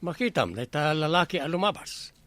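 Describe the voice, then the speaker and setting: A man's voice: a little high, sing-song, brisk, fairly bright tone, no echo. A man with an Ilocano accent acting as a teasing, exasperated village captain in a radio comedy drama. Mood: firm, explaining. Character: male village captain testing recruits for watchman, bantering, exasperated.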